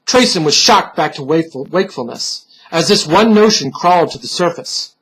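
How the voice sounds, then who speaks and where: Male storyteller for gothic horror, husky and higher-pitched, solemn theatrical delivery that sinks into hushed moments. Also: expressive, normal speed, low-quality recording. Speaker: storyteller, reader.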